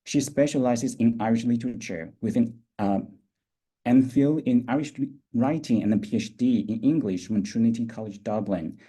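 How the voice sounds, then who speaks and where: A man's voice: mid-range voice, moderate pace, dark tone, husky, rough recording. A man reading formal academic introductions of speakers and their work as an editor at a roundtable.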